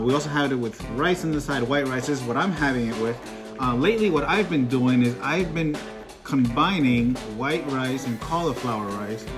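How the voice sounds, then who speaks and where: A man from Peru talks viewers through a home recipe in a chatty, upbeat tone. He has an easy, mid-pitched voice and drops in small self-deprecating jokes, with guitar music playing clearly behind him. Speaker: cooking vlogger. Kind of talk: casual cooking tutorial.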